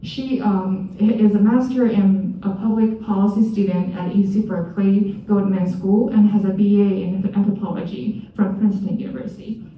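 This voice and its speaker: A woman's voice: mid-range voice, steady tone, normal speed. A woman hosting an event, reading out announcements and introducing a speaker in a hesitant way with many fillers. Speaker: event host. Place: event hall. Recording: echoey hall, from far off, dull sound.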